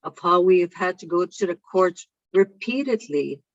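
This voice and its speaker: A keynote speaker for whom English is a second language, reading a prepared speech in a slow, deliberate way over a video call. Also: medium pitch, lively intonation, dry recording. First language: not English. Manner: slow, measured and deliberate delivery. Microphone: video call microphone. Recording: dull lo-fi online call audio.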